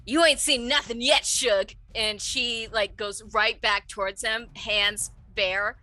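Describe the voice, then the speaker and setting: A woman's voice: a little high, steady tone, takes it slowly, sharp tone, soft background music. A woman playing a tabletop game, chatting informally and often interrupting herself. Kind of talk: casual, animated game chat.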